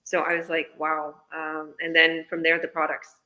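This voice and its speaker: A woman's voice: low-pitched, completely flat delivery, normal speed, sharp tone, poor audio. A woman business coach from British Columbia answering interview questions in a casual, chatty way, explaining eagerly. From British Columbia, Canada. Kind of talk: live online interview answers.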